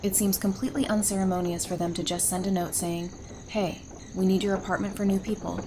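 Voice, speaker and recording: A woman's voice: medium pitch, level tone, a little fast, close to an everyday voice. Woman playing a friendly young survivor wife, humming background noise. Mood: mock indignant. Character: friendly, playfully grumbling young married woman in a survivor community. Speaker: voice actor in audio drama. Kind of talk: fan audio drama dialogue. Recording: home recording with clear background hum.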